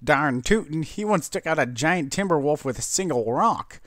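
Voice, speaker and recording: A man's voice: fairly high pitch, expressive, moderate pace, a bit bright, dry recording, clean studio sound, pitched above the performer's own voice. Man putting on a folksy, feisty cowgirl pony. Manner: folksy, blunt drawling idiom. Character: plain-spoken, feisty country cowgirl pony mare.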